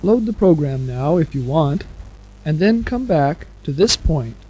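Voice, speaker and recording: Male, slightly high-pitched, dark mellow tone, conversational instructor, chatty, addresses the listener directly. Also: sing-song, a little slow, faint music behind. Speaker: instructor on a software instruction tape. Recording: muffled 1970s cassette tape recording.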